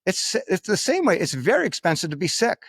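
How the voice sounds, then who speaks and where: An American man talking as an interview guest, haltingly repeating words for emphasis and often checking with a tag question. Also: high voice, wildly swooping pitch, a bit bright, breathy edge, no echo, studio quality. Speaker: podcast guest, finance expert. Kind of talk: conversational interview talk.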